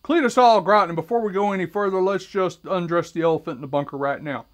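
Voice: medium pitch, fast talker, natural voice. A performer doing a folksy, boastful rural man. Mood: folksy, rambling. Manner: chatty, folksy, keeps asking "you know what I'm saying?". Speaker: self-styled host addressing America. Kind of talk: comic character monologue to camera.

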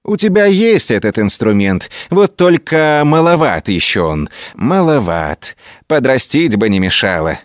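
A man's voice: mid-range voice, very animated, measured pace, no room sound, studio quality. A man voicing a rude, boastful older foreman of a lumber crew who mocks others in a folk tale. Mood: mocking.

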